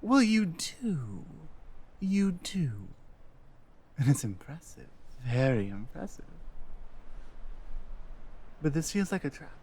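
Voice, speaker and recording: Woman doing a loyal fantasy healer lady, asmr roleplay, kinda unsure of herself, slow n halting, stammers a bit. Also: low voice, big pitch swings, mellow, slightly rough, exaggerated voice. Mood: awkward, earnest. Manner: hesitant, halting, with stammered restarts. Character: earnest female warrior-healer companion, loyal and self-doubting. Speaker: audio roleplay performer.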